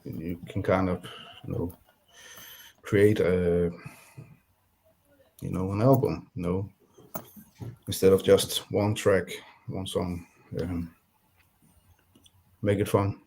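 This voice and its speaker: Danish-accented male guest, deep voice, hesitant, conversational. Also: level tone, vocal fry, a little mellow, husky. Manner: halting, searching for words. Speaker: guest beat producer. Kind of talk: casual interview chat.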